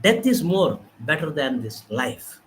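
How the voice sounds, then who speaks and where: A man's voice: on the high side, very animated, a little slow, a little hiss or hum, voice pushed down. A man voicing Narada, a man lamenting the loss of his wife and children and pleading for help. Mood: despairing. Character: grieving man who lost his family, despairing.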